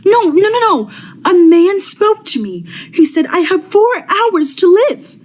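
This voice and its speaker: A woman playing a high-strung, frightened widow in a vintage radio thriller, with an anxious, pleading, melodramatic delivery. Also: sing-song, unhurried, heavily acted. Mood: panicked.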